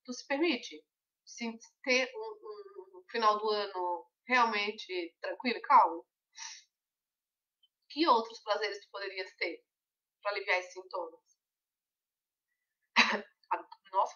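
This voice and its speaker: A woman's voice: mid-range voice, a little slow, pauses often, pushed and bright, far from the mic, dull audio. A woman leading a weight-loss mentoring session, talking conversationally and explaining things with everyday examples.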